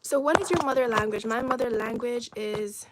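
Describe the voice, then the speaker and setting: A woman from China whose first language is Cantonese, chatting casually on a livestream into a phone, reading viewer comments aloud and often dropping to soft half-whispered asides. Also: fairly high pitch, moderate pace, very noisy. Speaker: livestream host.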